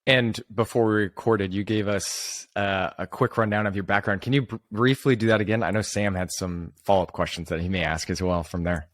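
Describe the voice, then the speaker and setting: Low-voiced man hosting a podcast. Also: steady tone, brisk, hardly any pauses, a bit bright, no room sound. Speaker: podcast host. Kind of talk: interview questions.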